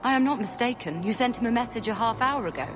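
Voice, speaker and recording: A woman's voice: on the high side, lively intonation, fast talker, music in the background, lightly acted. An actress playing an earnest, worried townswoman in a video game, speaking in a serious, insistent way. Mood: puzzled. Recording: noisy ambience behind the voice.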